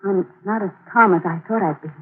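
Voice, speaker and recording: A woman's voice: medium pitch, a little fast, phone-quality sound, close to an everyday voice. A woman playing an anxious, devoted accomplice to a murderer in a 1940s radio mystery, earnest and fretful. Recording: noisy, thin 1940s radio broadcast recording.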